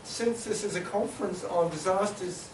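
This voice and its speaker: A man with a slightly high voice moderates a panel discussion, pausing often and filling gaps with hesitant sounds. He is from New Zealand. His voice carries through a reverberant conference hall, picked up from some way off the microphone, with a steady background hiss. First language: Maori. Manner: halting, many filler sounds and restarts, summarizing. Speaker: panel facilitator. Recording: echoey hall, mic at a distance.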